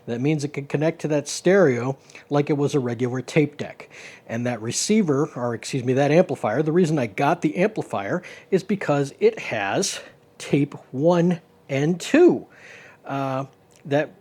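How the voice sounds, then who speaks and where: Middle-aged man, slightly high-pitched, casual vlogging. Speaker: host of a retro tech video series. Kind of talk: casual explanatory hobby vlog. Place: small home studio, indoors.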